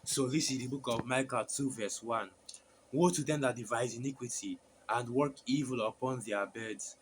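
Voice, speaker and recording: Hoarse guy with a sore throat preaching, average pitch, lots of ums and restarts. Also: slight background noise. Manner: halting, with many fillers and restarts. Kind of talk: sermon with scripture reading.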